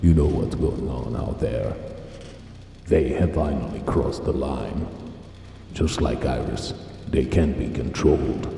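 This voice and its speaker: An actor playing a menacing, taunting military major in a sci-fi drama, with a gravelly voice and a slow, condescending delivery. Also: male voice, extremely low pitch, mellow, full character voice. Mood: smug, threatening. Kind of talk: cinematic sci-fi drama acting. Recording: roomy, distant, long reverb, faint noise.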